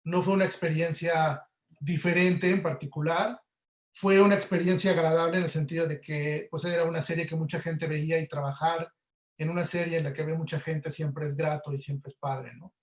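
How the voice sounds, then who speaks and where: A man's voice: on the high side, flat delivery, moderate pace. A man with a Mexican Spanish accent giving casual, explanatory interview answers through everyday examples, as a guest audio producer. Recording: roomy, echoey webinar audio.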